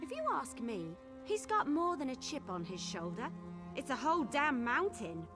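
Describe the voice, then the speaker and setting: A woman playing a brash, sardonic young caravan guard in a fantasy video game, blunt and expressive. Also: sits high, moderate pace, music in the background. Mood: earnest, wry. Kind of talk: video game dialogue acting.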